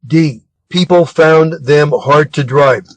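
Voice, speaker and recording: A man with an American accent reads scripted exam questions aloud in a measured, deliberate manner. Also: a little high, dry recording. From Arizona, United States. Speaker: reader of test material.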